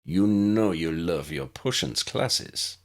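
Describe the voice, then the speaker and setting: A man voicing an elegant, charming male Italian potions professor in an audiobook, playful and courteous, with a warm, musical delivery. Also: deep voice, takes it slowly. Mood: teasing. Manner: theatrical, courteous, flourishing.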